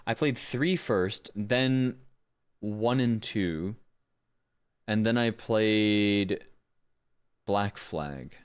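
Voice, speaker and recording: Deep-voiced man chatting casually on stream. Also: very slow, pauses often, smooth. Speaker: live streamer and voice actor. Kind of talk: casual livestream chat.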